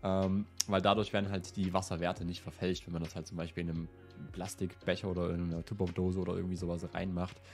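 Relaxed young man with a slight cold. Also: low voice, fast talker, faint music behind. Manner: relaxed, chatty, with fillers. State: slightly ill. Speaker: host. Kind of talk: casual Q&A vlog. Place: indoor room with plant wall and lit shelves.

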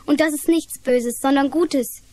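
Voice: sits high, a little slow, darkish tone, dry recording. A performer playing an earnest, trusting young girl in a children's radio play.

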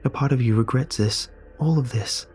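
A man's voice: mid-range voice, moderate pace, darkish tone, husky, pitched above the performer's own voice, natural voice. A man voicing an earnest young man who pleads desperately with a friend. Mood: pleading.

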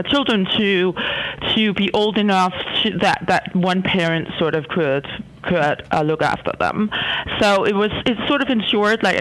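A woman from Denmark with a Danish accent, speaking haltingly as an academic guest interviewed by telephone. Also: medium pitch, fairly bright tone, low-quality recording. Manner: hesitant, halting delivery. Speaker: interview guest, philosophy professor.

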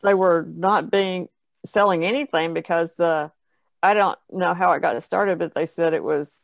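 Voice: mid-range voice, steady tone, a little slow, vocal fry, dry recording. A person in a casual podcast discussion, retelling things in a matter-of-fact way.

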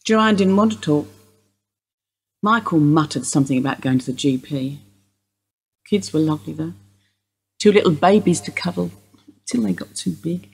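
Woman acting a husky, dry older widow. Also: low-pitched, normal speed, long pauses, darkish tone, full character voice, low-quality recording. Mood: wistful. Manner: dry, wry, unsentimental. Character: dry, blunt older widow under police questioning. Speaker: actor in a radio play. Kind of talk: radio drama dialogue.

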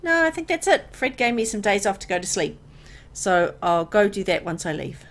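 A woman acting as an anxious young woman in a horror visual novel. Her pitch moves around a lot, and she speaks hesitantly, with unease. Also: big pitch swings, normal speed, a bit bright. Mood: subdued, uneasy. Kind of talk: visual novel dialogue and first-person narration.

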